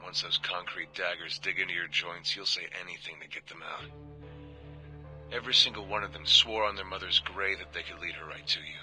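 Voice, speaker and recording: A deep-voiced, weary military man delivers a grim, confiding monologue from a video game. His tone is very sharp and forceful, weighed down by guilt. Music plays behind him. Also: fairly even intonation, fairly quick, rough recording. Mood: tense warning. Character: weary male military agent, grim, guilt-ridden, confessional. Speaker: soldier turned government agent. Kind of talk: scripted audio log monologue in a video game.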